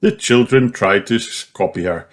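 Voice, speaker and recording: A man's voice: lowish voice, moderate pace, over loud music. A man reading a scripted children's audiobook as a storybook narrator.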